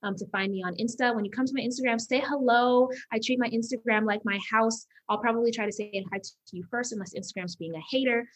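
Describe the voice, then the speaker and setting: A woman's voice: fairly high pitch, fairly even intonation, fast talker, hardly any pauses, low-quality recording. A woman from Uganda, raised in the US, speaking as an upbeat, motivational guest on a casual podcast interview, often laughing. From Uganda, raised in Las Vegas. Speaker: guest entrepreneur.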